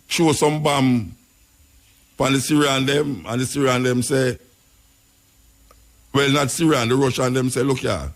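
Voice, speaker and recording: A man with a Jamaican accent hosting a radio show, rambling through casual commentary in patois with frequent chuckles. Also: mid-range voice. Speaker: radio host.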